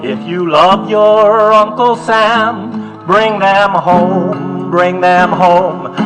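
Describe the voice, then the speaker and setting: A man's voice: sits high, poor audio. A man performing as a folk singer, singing protest songs. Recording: acoustic guitar music throughout. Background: acoustic guitar.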